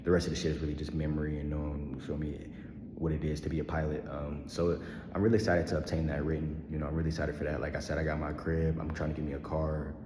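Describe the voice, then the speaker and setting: Very deep-voiced young man, echoey room. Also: little pitch movement, quick pace, dark tone. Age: 20s. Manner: laid-back slangy rambling, frequent ums and laughs. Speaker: vlogger. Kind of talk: casual personal vlog monologue.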